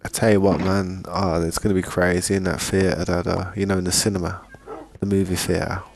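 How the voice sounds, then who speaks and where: Low gravelly man chatting while gaming. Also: flat delivery, fairly quick. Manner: rambling and laid-back, says "man" a lot. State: still has a lingering cough. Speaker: gaming streamer. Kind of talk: casual gameplay chat.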